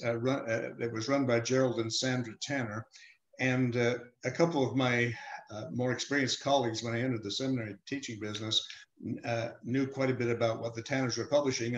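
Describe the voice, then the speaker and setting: Older man, flat unhurried voice. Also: medium pitch, echoey room, poor audio. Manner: measured, hesitant, many "uh" fillers. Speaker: emeritus professor, guest. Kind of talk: reflective interview answers.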